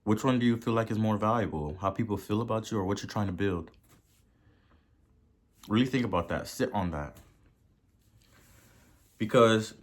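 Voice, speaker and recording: A man with a Haitian accent hosting a podcast and giving a motivational talk, preachy, repeating words for emphasis and swelling to loud peaks. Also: on the low side. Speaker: podcast host.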